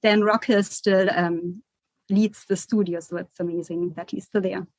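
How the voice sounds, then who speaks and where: A woman's voice: lowish voice, steady tone, moderate pace, darkish tone, no room sound. A woman in her forties from Germany with a German accent, a guest architect giving chatty interview answers full of fillers. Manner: chatty, with many fillers and restarts.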